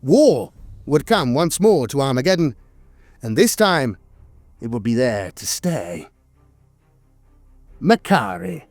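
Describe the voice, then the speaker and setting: A man's voice: mid-range voice, big pitch swings, slow, long pauses, darkish tone, no room sound, soft background music. A man narrating scripted lore in a dramatic, wry storytelling manner.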